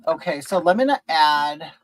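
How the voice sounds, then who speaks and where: A man's voice: a little high, animated, normal speed, a little hiss or hum. A man from rural California hosting a casual stream, chatting with viewers and rambling through hesitant, restarted sentences while doing makeup. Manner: rambling and hesitant, many restarts, reading and answering chat. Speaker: livestream host.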